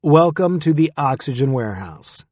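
A man's voice: on the high side, expressive, normal speed, a little mellow, no room sound. A man voicing a blunt, sardonic woman who runs a bar.

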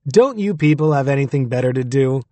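A blunt, sardonic barwoman addressing a rowdy crowd, as voiced by a male narrator. The voice is lifted a little higher and sounds slightly husky. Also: animated, moderate pace, a little mellow, dry recording. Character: bar owner woman, blunt and sardonic.

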